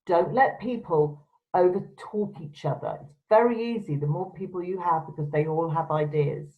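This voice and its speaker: A woman's voice: on the low side, normal speed. A woman presenter giving instructional advice to camera in a firm, direct, emphatic way. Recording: dull, lo-fi sound.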